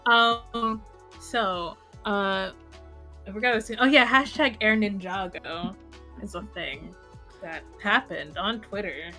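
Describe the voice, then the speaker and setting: A woman's voice: mid-range voice, unhurried, sharp tone. Rambling woman podcaster over music. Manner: rambling, heavy use of "like". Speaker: podcast host. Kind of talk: casual podcast chat. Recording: music behind the voice.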